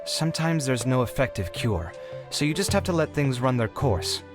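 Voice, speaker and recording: Man as a frank young architect, mid-pitched. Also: lively intonation, moderate pace, music in the background, close to an everyday voice. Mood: frank, encouraging. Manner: conversational, frank. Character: kindly, frank, weary young male architect. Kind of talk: video game voice acting.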